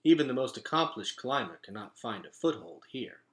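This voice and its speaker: A man voicing a calm, commanding leader in a storybook, with stately, formal and archaic phrasing. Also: mid-range voice, normal speed. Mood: grave. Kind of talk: acted storybook dialogue.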